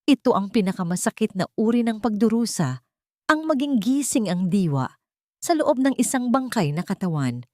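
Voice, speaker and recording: A woman's voice: medium pitch, very animated, moderate pace, darkish tone, dry recording, clean studio sound. A woman reading a dramatic scripted story as its narrator.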